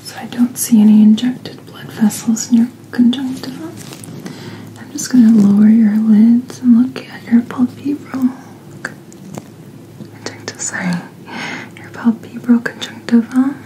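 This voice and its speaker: A woman speaking in a soft, breathy whisper, gently and reassuringly playing a doctor in an ASMR roleplay, close to the microphone. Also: fairly high pitch, steady tone, a little slow, a little hiss or hum, low-quality recording. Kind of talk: soft-spoken ASMR medical checkup roleplay.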